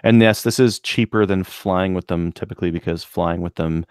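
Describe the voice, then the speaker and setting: A Canadian man with a low voice, recorded close and dry, talks as a podcast co-host. His delivery is casual and rambling, with a joking streak in how he explains things. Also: normal speed, darkish tone, smooth, no echo.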